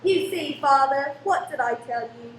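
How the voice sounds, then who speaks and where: A woman's voice: high-pitched, a little slow. A woman playing a cheerful, outspoken young daughter in a radio play, spirited and a little defiant. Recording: echoey room with faint noise.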